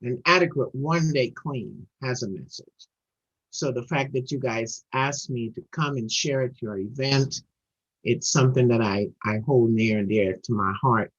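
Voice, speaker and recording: A middle-aged woman shares her recovery story in a husky, earnest voice. She circles back on her words as she builds up speed. It comes through dull, lo-fi video call audio. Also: extremely low pitch, measured pace. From Trinidad and Tobago. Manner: earnest, repeats words while building momentum. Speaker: guest speaker at a recovery meeting.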